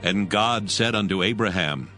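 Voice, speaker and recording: A man narrating scripture from a script in a measured, formal manner. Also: fairly low pitch, over music.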